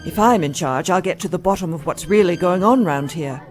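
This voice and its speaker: A woman's voice: lowish voice, fairly quick, a little mellow, faint music behind, close to an everyday voice. A woman playing a principled, no-nonsense doctor in an audio drama, blunt and sarcastic. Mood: defiant.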